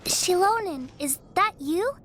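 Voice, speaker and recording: A woman's voice: very high-pitched, lively intonation, unhurried, a bit bright, slight background noise, heavily acted. A woman voicing a frail, polite little girl in a video game. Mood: hopeful. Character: frail, polite little sick girl who loves books. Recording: music playing behind the voice.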